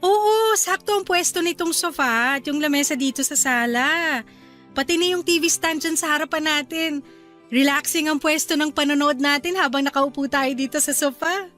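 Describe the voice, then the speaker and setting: A sweet filipino young wife in a radio drama, high voice, soft music behind. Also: female voice, moderate pace. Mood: fond. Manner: sweet, fond, teasing. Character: young wife and new mother, affectionate.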